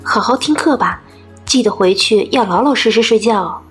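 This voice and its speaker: A woman plays a teenage schoolgirl in an audio drama. She has a fairly high voice and a caring manner, with soft music behind. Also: normal speed, breathy edge. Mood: concerned. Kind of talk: Chinese audio drama acting.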